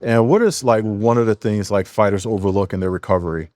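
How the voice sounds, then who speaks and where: A man's voice: fairly low pitch, fairly quick, darkish tone, no echo, studio quality. A man hosting a podcast who asks questions loosely and casually. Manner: loose, casual phrasing with laughs and fillers. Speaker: podcast host.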